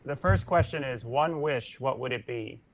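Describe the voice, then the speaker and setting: A man's voice: medium pitch, normal speed, a crowd in the background, rough recording. A man hosting an interview, affirming his guest often and leading into questions with light laughs. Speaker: host.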